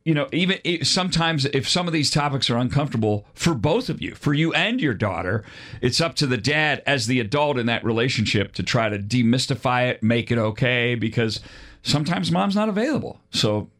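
A middle-aged man with a medium-pitched voice talks as a podcast host. His delivery is informal and plain-spoken, like a relaxed chat with a guest. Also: a little fast. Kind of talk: casual conversational interview.

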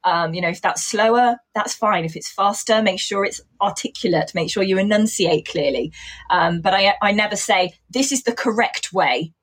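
An English woman with a hybrid accent and traces of Coventry in it, speaking as an English teacher in a relaxed interview. Her voice is a little husky and sits in a middle register. She explains her ideas step by step and scatters "you know" through her talk. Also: medium pitch, moderate pace. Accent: mixed English, with traces of Coventry. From England. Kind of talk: casual interview chat.

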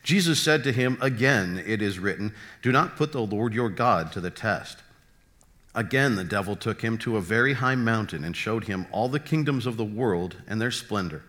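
A man giving an expressive scripted reading of a Bible story. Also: on the low side, moderate pace. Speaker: scripture reader.

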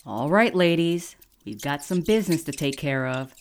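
An actress playing a droll, mischievous, unflappable sidekick in an audio drama. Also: female voice, low voice, expressive, normal speed. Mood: droll, relaxed.

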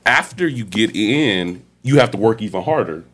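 A man's voice: on the low side, expressive, moderate pace, fairly bright tone. A man with an Atlanta Southern accent talking casually as an interview guest, slangy and repeating words for emphasis. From Atlanta, Georgia, United States. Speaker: guest, club DJ. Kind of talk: casual podcast interview talk.

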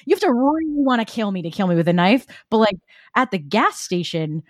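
A woman's voice: mid-range voice, sing-song, a little fast, dry recording, clean studio sound. Wry woman with a New Jersey accent. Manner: wry, self-deprecating, laughs at own jokes. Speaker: podcast co-host. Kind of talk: casual chat.

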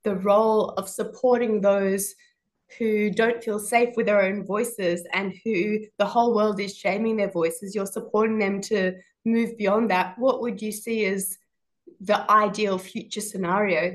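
A woman's voice: medium pitch, fairly even intonation, a little slow, low-quality recording. A woman hosting an interview, asking reflective, probing questions in a thoughtful manner. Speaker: podcast interviewer.

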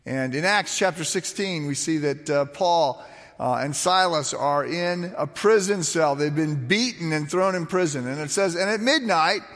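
A man preaching in a big hall, his voice a touch high for a man and raspy whenever he drops to a softer level. He tells his points as lively stories, stopping and restarting often. The sound rings with a long hall echo. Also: moderate pace. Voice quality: raspy when speaking quietly. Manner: animated storytelling with frequent restarts and fillers. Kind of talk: sermon.

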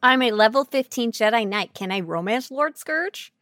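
A woman with a bright, somewhat high voice co-hosts a gaming podcast. She talks informally and playfully, often gushing about what she likes. The sound is very dry, with no room echo. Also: lively intonation, normal speed. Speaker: podcast co-host. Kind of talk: casual chat about a video game.

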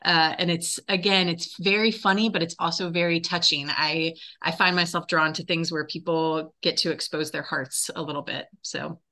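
Bright, lowish-voiced woman in interview. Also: fairly even intonation, normal speed, hardly any pauses. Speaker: interview guest, theater director. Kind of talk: conversational interview answers.

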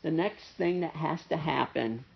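A male pastor with a nasal, gravelly voice, pitched a bit higher than most men. He preaches casually, tossing in "right?" and "uh" as he goes. The recording is dull and muffled, as if from a church service. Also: moderate pace. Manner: conversational preaching with frequent "right?" and "uh" fillers. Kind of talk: sermon. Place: church service with a pianist present.

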